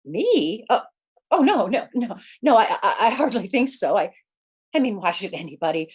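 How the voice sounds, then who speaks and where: An actress playing a nervous, demanding invalid wife in 1940s radio suspense style, with a fretful, insistent, stammering delivery. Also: female voice, mid-range voice, very animated, normal speed, breathy edge, highs cut off. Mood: uneasy, pleading.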